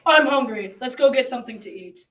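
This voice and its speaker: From a roomy, distant mic comes a huge, grumpy father giant played by a young girl. The voice is high and loud, blustering and quarrelsome in radio drama style. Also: animated, brisk. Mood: gruff, demanding. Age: young teen. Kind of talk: school radio drama acting.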